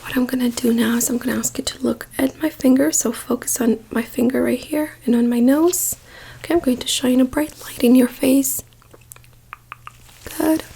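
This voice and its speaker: A woman doing a soft-spoken ASMR doctor roleplay in a breathy whisper, gently giving reassuring instructions close to the mic. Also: sits high, slight background noise, rough recording. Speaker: ASMR performer playing a doctor.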